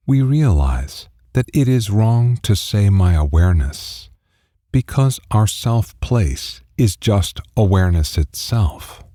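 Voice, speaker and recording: A man narrates a spiritual audiobook at a very slow, deliberate pace. His phrasing is measured, and his pitch rises and falls widely. The voice is dark and mellow. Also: dry recording, studio quality. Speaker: audiobook reader.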